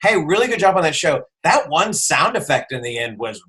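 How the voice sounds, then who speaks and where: A man hosting a podcast, chatting casually and telling anecdotes in a jokey, animated way. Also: on the high side, a little fast. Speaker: podcast host.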